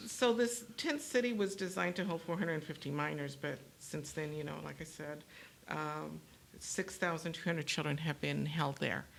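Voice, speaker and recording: A woman from New Mexico hosting a panel talk show, speaking in a halting way with many fillers and restarts. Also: low voice, creaky, a little hiss or hum, rough recording. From New Mexico, USA. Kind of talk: panel discussion.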